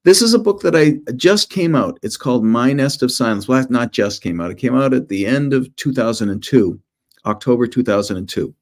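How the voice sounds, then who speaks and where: A man's voice: mid-range voice, lively intonation, brisk, darkish tone. A middle-aged man, an author, presenting informally to schoolchildren in short storytelling phrases with conversational asides.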